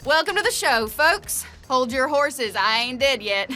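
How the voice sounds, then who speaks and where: A brash Southern US patriot soldier woman, in a video game. Her voice is high and bright, with sassy, punchy, combative delivery and music underneath. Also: sing-song, measured pace, full character voice. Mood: cocky, sassy. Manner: sassy, punchy military barks.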